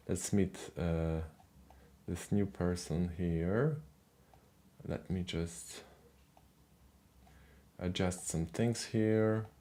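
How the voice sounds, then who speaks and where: A man hosting a live call-in language show, casual and halting, with many fillers and restarts. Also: sits low, warm tone.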